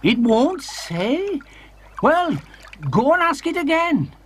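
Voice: high voice, very animated, takes it slowly, slight background noise, exaggerated voice. A storyteller voicing a grumpy, scolding old sea reptile in a children's story. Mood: grumbling. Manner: grumbling, scolding.

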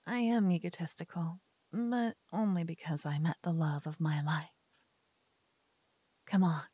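A woman voicing a cocky, playful lover who whispers and teases softly in an ASMR roleplay. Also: on the low side, fairly even intonation, takes it slowly, long pauses, husky, dry recording. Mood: playful teasing. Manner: playful, teasing, soft whispering. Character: cocky playful young woman, a devoted lover waking her partner. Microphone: binaural mic.

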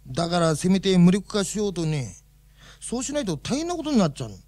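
A man's voice: sits high, big pitch swings, fast talker, darkish tone, no room sound. A man playing a folksy, fussy alien official in a radio drama, explaining things in a colloquial way. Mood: apologetic.

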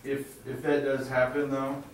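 A Southern American man on a council pressing pointed questions. His voice is of ordinary pitch and carries a strong room echo, caught from a distance. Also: completely flat delivery, measured pace. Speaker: council member. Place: small council meeting room. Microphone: far room camera mic. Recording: echoey room from far off, faint noise.

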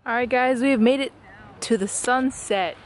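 A woman's voice: fairly high pitch, normal speed, over loud music. A teenage girl vlogging in a casual, chatty and giggly way, filming herself at arm's length around a resort hotel. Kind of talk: casual vlog chat. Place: resort hotel room and grounds. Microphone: camera held at arm's length.

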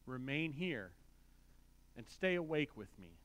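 Grieving spiritual teacher voiced by a man, slow, hushed, grave delivery. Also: mid-range voice, big pitch swings. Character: sorrowful male teacher praying before his arrest.

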